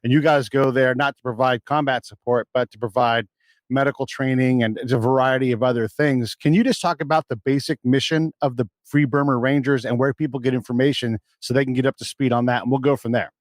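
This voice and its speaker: A man's voice: medium pitch, steady tone, a little fast, dry recording. A man hosting a podcast interview, chatty and joking, with many restarts. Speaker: podcast host. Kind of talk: casual interview talk.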